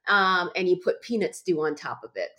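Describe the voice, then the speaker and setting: A woman in her forties, originally from Uganda, talks as a guest in a conversational interview. Her voice sits a little low for a woman, and her delivery moves between bubbly enthusiasm and earnest conviction. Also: moderate pace, low-quality recording. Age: 40s. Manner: warm storyteller, bubbly when excited, earnest about faith. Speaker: guest author.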